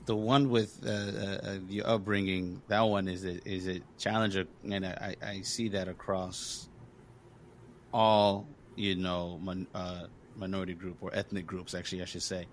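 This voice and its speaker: A man's voice: low-pitched, little pitch movement, moderate pace, slight background noise. A man from Congo hosting a podcast, talking earnestly and encouragingly in casual conversation, with lots of fillers. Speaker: podcast host.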